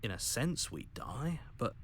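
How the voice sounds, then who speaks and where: A weary, hollow game voice. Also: male voice, mid-range voice, big pitch swings, measured pace, very noisy. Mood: bleak, resigned. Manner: quiet, hollow and resigned. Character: weary, bleak disembodied male voice. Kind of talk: video game voice acting.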